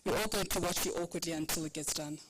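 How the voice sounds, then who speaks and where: Relaxed woman leading a workshop, noisy room. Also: fairly low pitch, fast. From South Africa. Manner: relaxed and jokey, gently directing the audience. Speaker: workshop facilitator. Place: conference room. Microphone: handheld microphone with a PA system.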